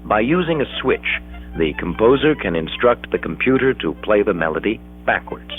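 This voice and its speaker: Deep-voiced American man narrating vintage educational film. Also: big pitch swings, soft background music. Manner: measured, explanatory. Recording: dull, dated 16mm film soundtrack.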